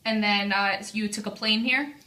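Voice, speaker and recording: Bright, friendly woman, casual interviewer asking gentle questions. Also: medium pitch, fairly even intonation, normal speed. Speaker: interviewer, granddaughter.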